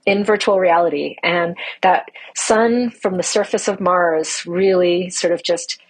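A woman's voice: on the low side, a little slow, slightly rough, rough recording. A woman speaking as a mission commander, giving an informal audio log update in an upbeat, emphatic manner. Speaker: mission commander of a Mars analog crew.

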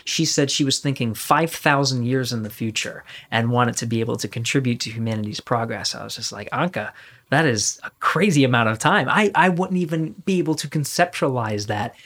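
A man's voice: mid-range voice, fairly quick, a bit bright. Man hosting a conversational interview podcast. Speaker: podcast host.

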